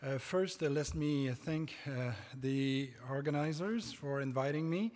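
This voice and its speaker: A man's voice: fairly high pitch, a little mellow. French Canadian man lecturing in a hall. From Quebec, Canada. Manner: halting, many hesitations and restarts. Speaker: conference speaker. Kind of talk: conference lecture. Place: large conference hall stage. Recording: reverberant conference hall.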